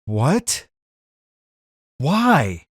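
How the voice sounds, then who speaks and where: A man playing a slow-witted, indignant husband caught among gangsters in a comedic audio drama, whiny and bewildered. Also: on the high side, wildly swooping pitch, husky, no echo, exaggerated voice.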